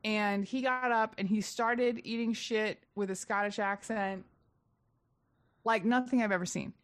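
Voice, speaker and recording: A woman comedian, mid-pitched and chatty, spins gossipy stories from the road in a loose podcast conversation. She talks with animation and an easy, storytelling flow. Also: steady tone, measured pace, no echo, clean studio sound. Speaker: stand-up comedian guest. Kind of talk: casual podcast chat, storytelling.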